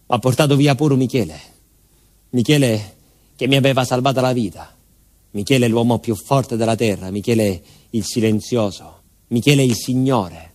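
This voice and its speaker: A man's voice: mid-range voice, darkish tone, natural voice. An actor playing an aging southern Italian village man, a grieving former miner, telling his story in a colloquial theatrical monologue to an unseen listener. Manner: colloquial, rustic, addressing an unseen listener with rhetorical questions. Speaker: stage actor.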